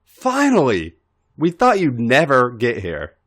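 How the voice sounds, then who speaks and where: A man's voice: mid-range voice, huge pitch swings, slow, a bit bright, pitched above the performer's own voice, heavily acted. A man voicing a smug, taunting male wrestler rival with mocking emphasis in an audio story.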